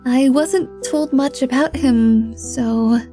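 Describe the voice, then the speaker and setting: A woman acting a sweet young fire genasi in a fantasy audio drama. She speaks very slowly in a high, soft tone, hesitant and courteous, with a stoic streak underneath. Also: faint music behind. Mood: earnest. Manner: hesitant, polite, soft-spoken.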